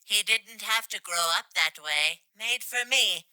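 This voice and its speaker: A woman's voice: medium pitch, lively intonation, measured pace, bright, forceful tone, clean tone, no room sound, low-quality recording. A woman's voice reading scripted game lines in a stilted, literal way. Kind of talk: flat reading of garbled translated game lines.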